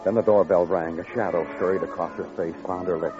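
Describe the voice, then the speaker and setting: A man's voice: low voice, fairly even intonation, fast talker, lightly acted. A man playing a hardboiled, world-weary police detective and narrator in a 1950s radio crime drama, wry and brooding with clipped storytelling. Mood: somber. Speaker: narrator and lead actor. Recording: muffled vintage radio recording with music.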